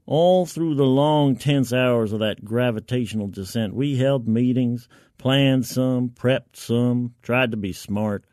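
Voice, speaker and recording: A man's voice: medium pitch, takes it slowly, few pauses, a little mellow, dry recording, studio quality. A man narrating first-person science fiction in the colloquial, gritty, wry voice of a soldier.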